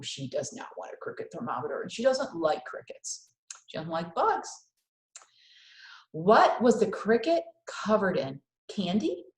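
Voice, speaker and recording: A woman reading a children's story aloud expressively, as a teacher would to young listeners. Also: lowish voice. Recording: echoey room sound.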